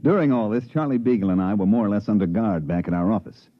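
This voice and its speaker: A man's voice: on the low side, animated, fast talker, loud music behind the voice, natural voice. An actor playing a world-weary male swindler who narrates a 1950s radio play, with a drawling, sighing delivery. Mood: narrating. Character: weary, wisecracking male swindler, middle-aged. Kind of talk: 1950s radio drama acting. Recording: dull vintage radio broadcast.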